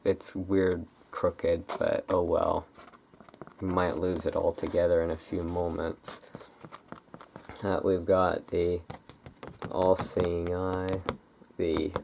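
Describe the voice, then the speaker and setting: An ASMR creator chatting casually and unscripted, in a halting, rambling way that often breaks off mid-thought. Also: sits low, gravelly, a little mellow, slight background noise. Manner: halting, rambling, self-interrupting.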